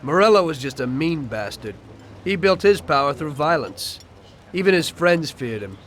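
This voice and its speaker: A reflective mob driver, narrating calmly and evenly. His voice sits a little high for a man. A faint hiss hangs behind this game narration. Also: moderate pace. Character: young male getaway driver turned mob narrator, reflective.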